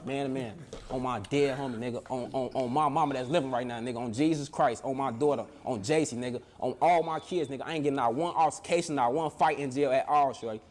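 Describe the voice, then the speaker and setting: A man's voice: mid-range voice, fast, few pauses, darkish tone, slight background noise. A man, swearing insistent oaths and telling a jail story in a played clip. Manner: insistent, emphatic.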